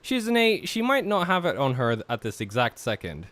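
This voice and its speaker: A man's voice: mid-range voice, wildly swooping pitch, moderate pace, fairly bright tone, no room sound. A man casually joking and reading visual novel narration aloud as he streams a game. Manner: jokey, teasing.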